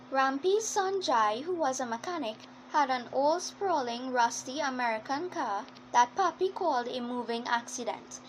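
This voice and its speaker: High-voiced woman narrating a story. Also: a little hiss or hum, rough recording. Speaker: narrator, speaking as a schoolgirl character. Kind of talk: scripted first-person story narration.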